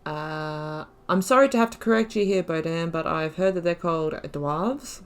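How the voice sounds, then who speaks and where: Lower-pitched woman, casual gaming commentary. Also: normal speed, clear, resonant tone. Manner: reads dialogue choices aloud, muses to herself. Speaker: gaming YouTuber.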